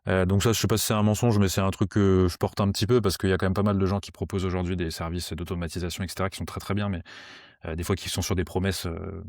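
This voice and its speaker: A man's voice: deep voice, little pitch movement, fast, darkish tone, no room sound, clean studio sound. A young man, a sales coach, talking casually and hesitantly with many fillers and restarts. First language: French. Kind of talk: casual podcast conversation.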